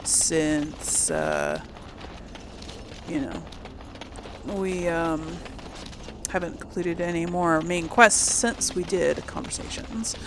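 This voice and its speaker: A woman talks her way through a video game, thinking aloud in a chatty ramble. Her pace is unhurried, while her pitch moves around a lot. She sounds easily amused and casual. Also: lively intonation, background noise. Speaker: gameplay host.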